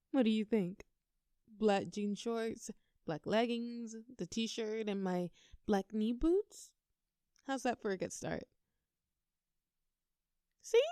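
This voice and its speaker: Teasing, creaky-voiced girlfriend, acted by a woman. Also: fairly high pitch, mellow, no room sound, studio quality.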